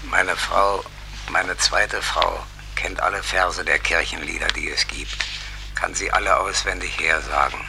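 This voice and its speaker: An actor playing a plain-spoken, placid dead small-town husband in a radio drama. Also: male voice, sits low, steady tone, a little slow, gravelly, noisy background. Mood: placid, reminiscing. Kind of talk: theatrical radio drama acting.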